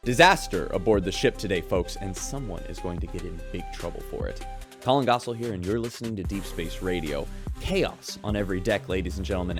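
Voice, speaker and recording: A chatty station host in a sci-fi radio play. His voice is lowish and bouncy, dry, with faint music under him. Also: no room sound. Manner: chatty, showman-like. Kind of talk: radio news broadcast.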